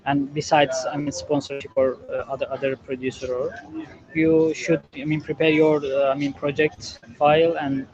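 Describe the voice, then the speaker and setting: A man, a filmmaker from Turkey of roots, speaking slow, halting English on a panel, often pausing to find words. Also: a little high, level tone, dull audio, crowd noise. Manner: halting, searching for words, many "I mean"s. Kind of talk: panel discussion.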